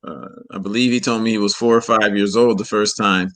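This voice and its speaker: Measured low-voiced man in his forties. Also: steady tone, fairly bright tone. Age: 40s. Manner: measured, repeats words while searching. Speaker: community elder speaker. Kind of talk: public address.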